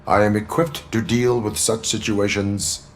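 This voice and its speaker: Male actor as stoic game cyborg cop, very low pitch, formal, clipped, deadpan. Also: unhurried, a little hiss or hum, close to an everyday voice. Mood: stern, flat. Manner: deadpan, stiff, formal, clipped robotic delivery. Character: stoic cyborg police officer, part man, part machine. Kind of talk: scripted game dialogue.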